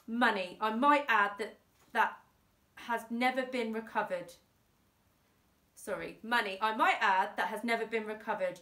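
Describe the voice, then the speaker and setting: A woman's voice: fairly high pitch, fairly bright tone, far from the mic. Woman doing a stern indignant judge from a kids book, pompous emphatic telling-off, bit echoey. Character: stern, indignant judge passing sentence on a criminal. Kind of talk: children's storybook character reading. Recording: roomy, echoey indoor sound.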